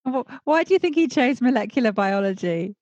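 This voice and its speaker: A woman hosting a podcast, reading a scripted intro and then interviewing her guest in a measured, emphatic way. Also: on the high side, animated, a little mellow, dry recording, dull audio. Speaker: podcast host. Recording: dry close audio with faint music.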